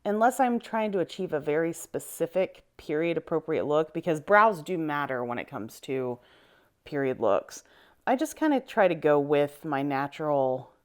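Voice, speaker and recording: A woman in her thirties with a low voice, chatting casually while doing her makeup for a vlog, upbeat and self-deprecating. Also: smooth. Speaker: vlogger. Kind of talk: casual get-ready-with-me vlog.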